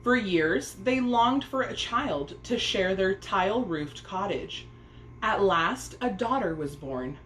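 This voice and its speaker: A woman reading a children's story aloud as an expressive narrator. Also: medium pitch, slow. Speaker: storybook reader. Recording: echoey room, faint background noise.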